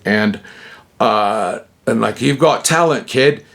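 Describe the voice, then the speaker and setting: A man's voice: fairly low pitch, takes it slowly, creaky, a bit bright. Rambling older man telling a story. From Utah. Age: 60s. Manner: digressive, self-interrupting, chuckling; tosses in slangy asides. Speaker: vlogger.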